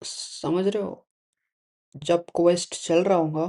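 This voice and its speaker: Very fast-talking Indian man streaming games. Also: sits high, steady tone, warm tone. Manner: informal, mixes Hindi and English. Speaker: game streamer. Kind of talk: casual live-stream chat. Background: faint game music.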